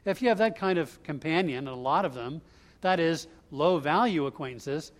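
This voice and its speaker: A man with a somewhat high voice delivers a sermon from the pulpit. He walks through a verse step by step in a relaxed, conversational manner, mixing teaching with personal stories. He grew up in the US Northeast. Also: moderate pace. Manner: conversational, explains line by line. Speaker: preacher. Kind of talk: expository sermon.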